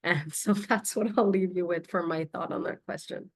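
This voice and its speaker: A woman from Sri Lanka introducing herself as a panelist in a webinar, friendly and measured. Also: lowish voice. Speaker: incubator panelist. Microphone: video call.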